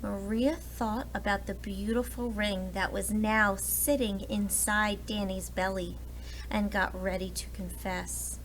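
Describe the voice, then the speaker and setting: A woman's voice: medium pitch, steady tone, takes it slowly, slight background noise, poor audio. A woman reading a children's storybook aloud expressively, like a preschool teacher addressing young listeners. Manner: explains words and asks listeners questions.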